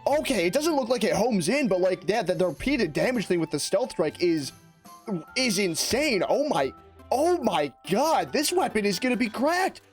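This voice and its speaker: Hoarse, excitable young gamer man. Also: sits high, faint music behind. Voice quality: raspy. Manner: excitable, slangy, fast-talking, rambling. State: hoarse from lost voice. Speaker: gaming YouTuber. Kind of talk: energetic gameplay commentary.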